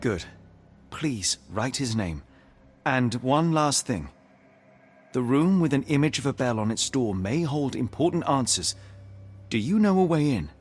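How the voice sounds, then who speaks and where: A sharp-minded detective for a mystery game. His mid-range voice moves expressively through measured, analytical reasoning. Music plays behind him. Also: mid-range voice, sing-song. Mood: gentle, coaxing. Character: composed, sharp-minded male detective, coolly authoritative. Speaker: video game voice actor. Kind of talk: dramatic detective game dialogue and deduction narration.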